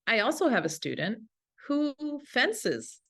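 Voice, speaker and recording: A woman's voice: fairly high pitch, wildly swooping pitch, a little slow, a bit bright. A woman teacher giving an instructional webinar talk to colleagues in an enthusiastic, informal way. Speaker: language teacher presenting a webinar.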